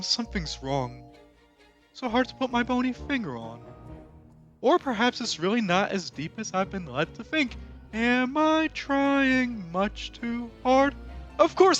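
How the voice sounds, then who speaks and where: A man playing an excitable skeleton showman from a musical, high-voiced, grandiose and dramatic, moving between song and speech. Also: full character voice. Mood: excited, triumphant. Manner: grandiose, sweeping, theatrical delivery. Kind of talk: theatrical musical performance, half sung and half spoken.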